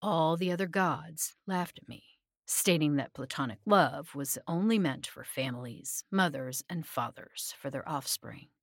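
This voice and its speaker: A woman's voice: low-pitched, animated, measured pace, no echo, clean studio sound, heavily acted. A woman voicing a bitter, scornful male god who lectures curtly in a story. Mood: bitter, probing. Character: eyeless male god, cold bitter game-maker.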